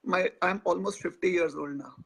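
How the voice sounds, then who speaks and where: A man, speaking as a guest in a live interview, pausing often with filler sounds. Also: sits high, gravelly, fairly bright tone, husky. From India. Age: 40s. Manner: hesitant, many filler sounds.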